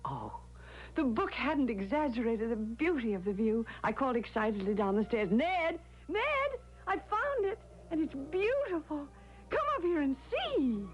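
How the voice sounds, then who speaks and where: An actress playing an anxious, devoted young wife in an old-time radio drama, with earnest, dramatic delivery. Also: female voice, high-pitched, big pitch swings, normal speed. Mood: brooding narration, then excited, urgent. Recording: dull vintage radio recording.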